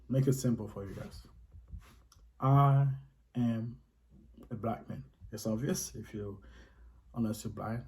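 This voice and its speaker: A man's voice: fairly low pitch, mellow, low-quality recording. A man with a Haitian accent, earnestly explaining his faith in casual talk, restating his points for emphasis.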